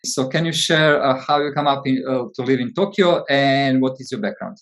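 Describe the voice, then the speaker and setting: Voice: medium pitch, normal speed. Hesitant interview host. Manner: hesitant, many uh fillers. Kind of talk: interview questions.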